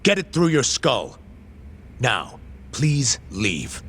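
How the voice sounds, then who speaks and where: A man's voice: medium pitch, wildly swooping pitch, extremely slow, a bit bright, a little hiss or hum, exaggerated voice. A man acting in a game dub as an exasperated, irritable office boss, curt and commanding. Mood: angry, exasperated.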